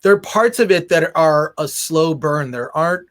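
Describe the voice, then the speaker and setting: A man hosting a podcast interview in casual chat, haltingly repeating words as thoughts form. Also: on the high side, lively intonation, fairly bright tone.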